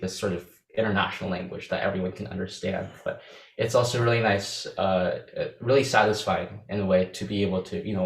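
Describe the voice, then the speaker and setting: Young Filipino man, interview guest, low-pitched, hesitant answers in an echoey room. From Philippines. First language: Visayan. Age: young adult. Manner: hesitant, many fillers and restarts.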